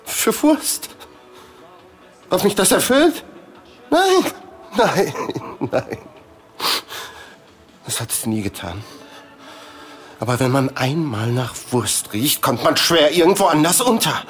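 A man's voice: fairly high pitch, huge pitch swings, slow pace, pauses often, faint music behind, heavily acted. An actor playing a frustrated sausage advertising man quitting his job in a satirical German radio drama. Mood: exasperated.

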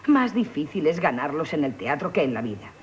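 An actress with a Castilian accent playing a bold, scheming actress who poses as a haughty countess in a theatrical radio comedy. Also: female voice, sits low, very animated, brisk. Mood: persuasive, urging. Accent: Castilian Spanish. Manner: theatrical, persuasive, swooping delivery. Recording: 1970s radio recording with noise.

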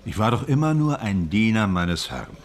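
A man playing a brooding, uneasy film actor in a German radio drama, understated and quietly earnest. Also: on the low side, sing-song, moderate pace. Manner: restrained, understated.

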